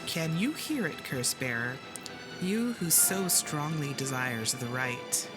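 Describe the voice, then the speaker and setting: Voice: mid-range voice, animated, slow. A streamer voicing an ominous curse spirit that demands death in a solemn, commanding, archaic manner. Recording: loud game music behind.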